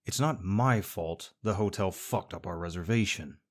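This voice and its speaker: A man's voice: deep voice, normal speed. A young man performing a scripted audio roleplay as a sarcastic, teasing rival co-worker. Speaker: voice actor playing a smug rival co-worker.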